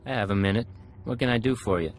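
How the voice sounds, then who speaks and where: An actor playing a cold-blooded undercover agent in a video game, confident, controlled and polite. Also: male voice, lowish voice, quick pace, soft background music, lightly acted. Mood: cool, confident. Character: smooth, cold-blooded male secret agent posing as pirate.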